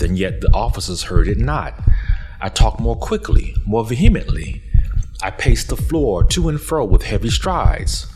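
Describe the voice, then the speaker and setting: A man playing an obsessive, paranoid murderer in dramatic gothic horror narration, theatrical and emphatic, repeating his words. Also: medium pitch. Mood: mounting panic. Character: mad, obsessive murderer confessing, paranoid and gleeful.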